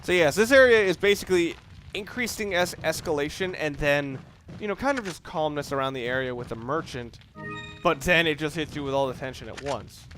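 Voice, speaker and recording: A man's voice: on the high side, brisk, fairly bright tone, soft background music. A man giving casual, analytical video game commentary, rambling and correcting himself as he goes. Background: video game sounds.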